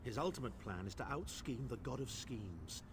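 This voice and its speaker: An actor playing a haughty aristocratic male mage with a dry, condescending manner, over steady game noise. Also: mid-range voice, normal speed, gravelly. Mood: sardonic.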